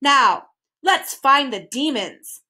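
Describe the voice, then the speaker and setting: A woman's voice: high-pitched, huge pitch swings, moderate pace, fairly bright tone, pitched above the performer's own voice, exaggerated voice. A woman voicing a blustering, cowardly male humbug insect in a storybook, emphatic and boastful.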